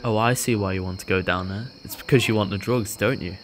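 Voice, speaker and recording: Faint outdoor noise with crickets surrounds a man acting a young British college student. His voice is on the low side, shy and hesitant, cautious and anxious, in a natural audio drama style. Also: fast, close to an everyday voice. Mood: wary. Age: young adult.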